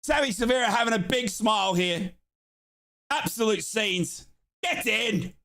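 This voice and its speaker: A man hosting a live fan watchalong, calling a football match in excitable play-by-play and chanting. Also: high voice, unhurried. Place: home office.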